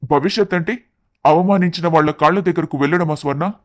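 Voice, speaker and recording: A man's voice: fairly high pitch, fairly quick, close to an everyday voice. A man playing a proud, resentful elder brother in a story, speaking indignantly. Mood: sarcastic, indignant.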